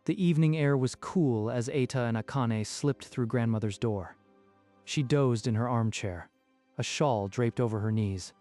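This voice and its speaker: A man's voice: medium pitch, moderate pace, darkish tone. A man narrating a scripted dramatic story in a literary storytelling style. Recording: music behind the voice.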